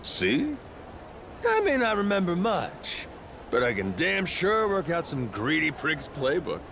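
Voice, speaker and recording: Brash game pirate captain, highish lively voice, cocky, streetwise, casual slang, faint ambient noise. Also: male voice, a little slow, vocal fry, full character voice. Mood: cocky, easygoing. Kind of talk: video game dialogue acting.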